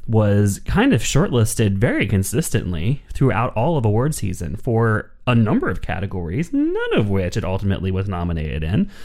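A man's voice: fairly low pitch, huge pitch swings, normal speed, hardly any pauses, darkish tone. A man co-hosting a film podcast in casual chat, talking with a dry, wry sense of humor.

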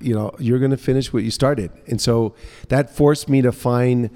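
A man's voice: medium pitch, steady tone, normal speed, dark tone. A middle-aged man, telling personal stories informally as an interview guest, recorded in an indoor space. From Guatemala, England and mostly New Orleans, USA. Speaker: interview guest, social entrepreneur. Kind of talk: conversational personal storytelling. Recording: reverberant room with long echo.

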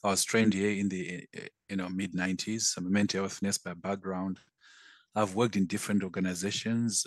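A man's voice: low-pitched, a bit bright, no room sound. A man in his fifties from Zimbabwe, a guest on an online panel talk, speaking steadily and matter-of-factly. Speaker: guest, mental health nurse.

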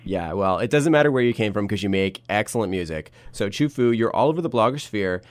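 A man's voice: on the low side, animated, a little fast, no echo. A man hosting an interview show, asking upbeat, punchy questions.

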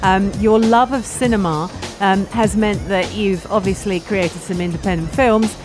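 A woman's voice: medium pitch, steady tone, normal speed, music in the background. A woman hosting a live radio show, bubbly and chatty. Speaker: radio host. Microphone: studio microphone.

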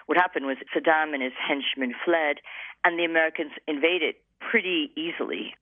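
Periodista recordando por una línea telefónica. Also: female voice, sits low, moderate pace, dry recording. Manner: reflective, storytelling recollection. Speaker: journalist guest. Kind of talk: interview over the phone. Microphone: telephone. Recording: tinny phone line.

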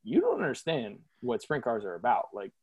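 A man's voice: medium pitch, huge pitch swings, fairly quick, slightly rough, no room sound. A man from the United States hosting a podcast, talking casually and peppering his speech with dude and man. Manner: slangy, calls people dude and man. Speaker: podcast host. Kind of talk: casual chat.

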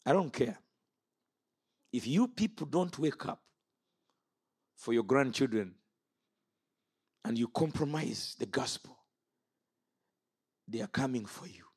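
A man's voice: medium pitch, expressive, slow, pauses often, darkish tone, slightly rough. A man in his fifties from Uganda preaching an impassioned sermon. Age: 50s. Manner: impassioned, rises and falls sharply.

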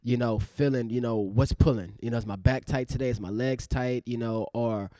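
A man's voice: medium pitch, steady tone, moderate pace, dark tone, no room sound, studio quality. A man in his thirties, an actor co-hosting a podcast, talking in a loose, rambling way with many fillers. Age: 30s. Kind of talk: casual reflective chat.

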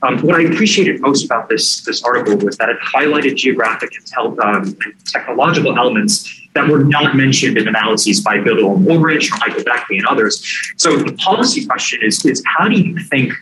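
Young man, mid-pitched, with noisy room sound. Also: expressive, a little fast. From Taiwan. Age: young adult. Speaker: graduate student asking a question. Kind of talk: prepared academic question. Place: seminar room audience. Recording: clear room noise.

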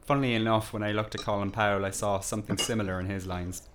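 An actor playing a chatty, teasing village pub landlord in an audio drama, with a folksy, jokey and politely nosy manner. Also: male voice, lowish voice, fairly even intonation, fast, noisy background, lightly acted. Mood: friendly, probing.

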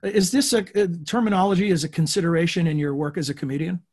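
A man with a fairly high voice moderates a podcast panel. He poses conversational, probing questions and steers the discussion toward each guest. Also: fast talker, darkish tone, husky. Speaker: podcast host.

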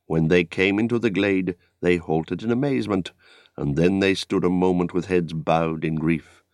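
A man narrating an epic story as an audiobook, in a dramatic, solemn and measured literary style. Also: deep voice, darkish tone, no echo, studio quality. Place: dry studio.

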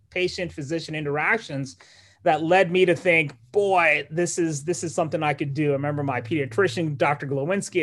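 Higher-voiced man from Malawi, reflective. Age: 40s. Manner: thoughtful, with frequent restarts and light laughs. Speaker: neurologist guest. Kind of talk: casual interview storytelling.

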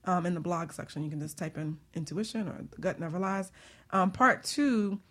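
A woman hosting a casual talk show, telling stories in an animated, exclamatory way. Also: on the low side, moderate pace. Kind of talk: casual chat.

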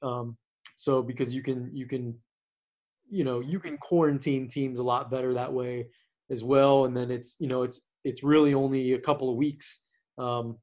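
A man who coaches, talking casually about sports as a podcast co-host, with a naturally quiet voice and a halting way of talking, full of restarts and fillers. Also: medium pitch, fairly even intonation. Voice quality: naturally quiet voice that does not carry. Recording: dull lo-fi sound.

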